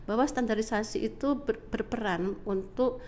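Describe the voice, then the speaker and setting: A middle-aged woman with a slightly congested, nasal voice, giving polite, halting explanations as an interview guest. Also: on the high side, darkish tone, slight background noise. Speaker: interview guest, government agency director. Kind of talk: conversational explanatory answers.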